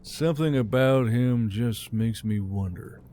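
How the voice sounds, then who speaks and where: A man's voice: medium pitch, a little slow, warm tone, slight background noise, close to an everyday voice. A man voicing a weary, self-doubting military leader in an audiobook, resigned and hesitant. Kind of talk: audiobook character voice.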